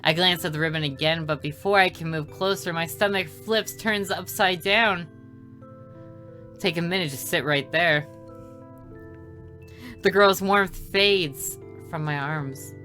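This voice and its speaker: A woman's voice: fairly low pitch, measured pace, pauses often, sharp tone. A woman reading a visual novel aloud as a let's player, mixing narration with casual commentary. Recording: music behind the voice. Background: game music.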